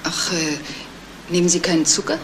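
An actress playing a self-possessed, twice-divorced fiancée under suspicion in a 1970s radio crime play, speaking in a composed, matter-of-fact way. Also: female voice, on the low side, fairly even intonation, normal speed, close to an everyday voice. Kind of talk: 1970s German radio crime drama acting. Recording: roomy, distant, noisy old radio recording.

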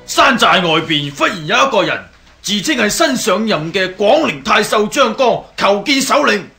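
High, creaky bandit underling. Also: male voice, very animated, normal speed, pushed and bright, faint music behind, heavily acted. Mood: urgent. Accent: Cantonese, Hong Kong. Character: excited bandit underling reporting to his chief. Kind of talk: radio drama acting.

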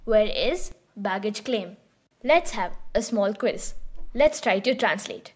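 A woman hosting her own channel, giving a scripted beginner lesson with calm, clear prompts. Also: mid-range voice, takes it slowly. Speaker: language teacher and channel host.

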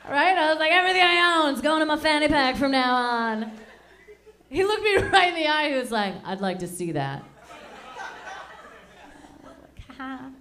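Canadian lady in her 30s doing standup, high voice, chatty and rambly, handheld mic in a small club. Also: animated, moderate pace, pauses often, bright, forceful tone, some chatter behind. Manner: chatty, rambling, self-mocking. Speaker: stand-up comedian and MC. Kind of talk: stand-up comedy set. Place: small dark lounge with a live audience.

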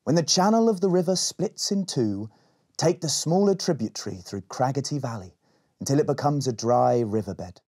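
A man's voice: mid-range voice, lively intonation, moderate pace, mellow, husky, voice pushed up. A man voicing a solemn, mystical ancient elf sage from a storybook, commanding and portentous.

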